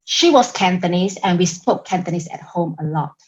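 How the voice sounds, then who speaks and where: A woman with a Singaporean English accent delivering a prepared club speech over a video call, in a deliberate, emphatic manner. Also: fairly low pitch, animated, muffled recording. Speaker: club member giving a speech.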